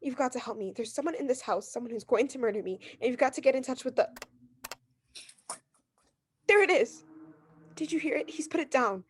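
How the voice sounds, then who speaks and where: High-voiced, frightened neurotic invalid wife played by an actress. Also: female voice, animated, brisk, long pauses, slight background noise. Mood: terrified, desperate. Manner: insistent, nervous, overwrought. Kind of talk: 1940s radio drama acting.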